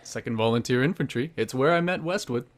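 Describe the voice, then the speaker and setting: A man's voice: mid-range voice, a little fast, lightly acted. An actor playing a stern family doctor and former military man in a radio murder mystery. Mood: matter-of-fact.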